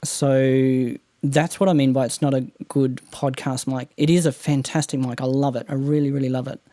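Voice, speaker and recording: A man's voice: mid-range voice, little pitch movement, normal speed, dark tone, dry recording. Man, Australian accent, nasal, rambling and laughing easily, dry home-studio sound. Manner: chatty, rambling, laughs often. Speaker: podcast producer guest. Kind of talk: casual technical chat. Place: home room treated with mattresses. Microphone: condenser studio mic.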